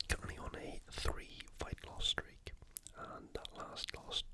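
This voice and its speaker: A British ASMR host previewing sports in a soft, breathy, unhurried whisper. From United Kingdom. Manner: soft, hushed, unhurried delivery. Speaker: video host.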